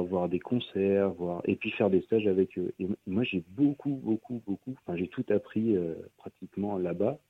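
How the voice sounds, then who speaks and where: A man's voice: lowish voice, breathy edge. A man who is a guest musician, talking casually in a radio interview, hesitant with many fillers and restarts. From Pyrénées-Orientales, France. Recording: tinny phone-like radio line.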